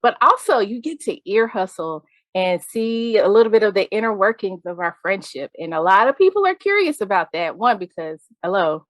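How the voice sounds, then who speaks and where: A woman's voice: medium pitch, big pitch swings, normal speed, no echo. A bubbly woman hosts a casual podcast chat, laughing easily and talking straight to her listeners. Her voice sits in an ordinary middle range. It is recorded close and dry. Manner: bubbly, laughs often, addresses listeners as y'all. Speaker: podcast host.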